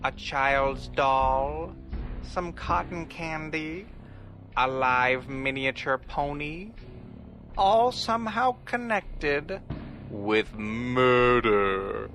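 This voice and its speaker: A man playing a mysterious horror show host, theatrical and ominous, with drawn-out emphasis in a scripted introduction. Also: mid-range voice, animated, a bit bright, heavily acted. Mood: ominous, welcoming. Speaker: host of an old time radio show. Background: music behind the voice.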